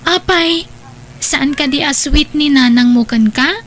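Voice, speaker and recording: A woman's voice: high voice, measured pace, slight background noise. A woman playing a sweet, understanding young schoolgirl in an audio drama, agreeable, with a Filipino accent. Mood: curious, sympathetic.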